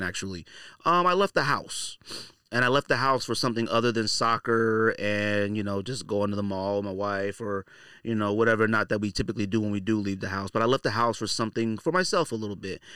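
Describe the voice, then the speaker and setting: A man's voice: fairly low pitch, pushed and bright, clear, resonant tone, no echo, studio quality. An American man hosting a podcast solo, talking casually in a slangy, conversational, emphatic way. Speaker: sneaker podcast host.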